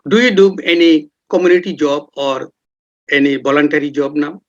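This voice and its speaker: A man's voice: on the high side, normal speed. A man interviewing a guest in a formal way, with frequent short acknowledgements. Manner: formal, repeats acknowledgements like "yes" and "right". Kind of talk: podcast interview questions. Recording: dull lo-fi sound.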